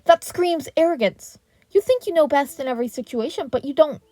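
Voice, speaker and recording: A woman voicing an indignant male teacher in a story reading, sharp and commanding as he lectures a colleague. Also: high-pitched, brisk, no echo, voice pushed up, close to an everyday voice. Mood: stern lecturing.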